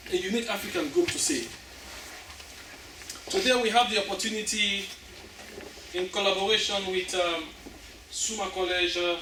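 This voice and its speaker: A man from Sierra Leone, chairman of a theatre group, welcoming an audience before a play with a halting delivery full of fillers. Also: high voice, noisy background. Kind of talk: public welcome address. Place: school hall. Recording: echoey hall from far off.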